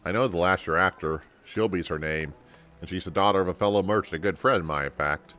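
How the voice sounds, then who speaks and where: A man's voice: low voice, fast, sharp tone, soft background music. A man voicing a kindly town merchant in a video game, with folksy, old-fashioned turns of phrase. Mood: wistful, concerned. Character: friendly town merchant, worried for a friend's daughter.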